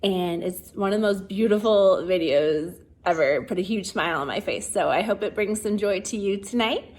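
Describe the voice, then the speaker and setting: A woman speaks as the host of a casual online show. She introduces guests and puts questions to them in an informal, conversational style, at an average pitch for a woman. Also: moderate pace, darkish tone. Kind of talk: casual spoken introduction and interview.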